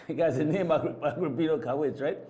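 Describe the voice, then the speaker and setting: A man hosting a live morning TV show in a studio, talking casually with guests and often repeating words as he goes. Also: fairly high pitch. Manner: halting, repeats words, warm welcomes.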